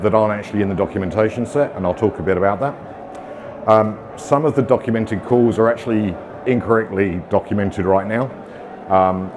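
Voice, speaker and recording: A man's voice: fairly low pitch, background noise. Middle-aged British man giving a tech talk. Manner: casual, self-deprecating, frequent ums and uhs. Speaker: conference speaker. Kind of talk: technical conference talk. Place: conference room with audience.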